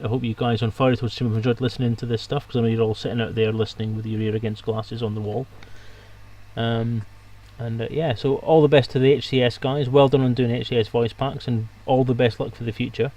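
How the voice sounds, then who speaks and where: A man's voice: mid-range voice, darkish tone. A man hosting a livestream, chatting casually with his guests and viewers as he wraps up. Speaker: livestream host.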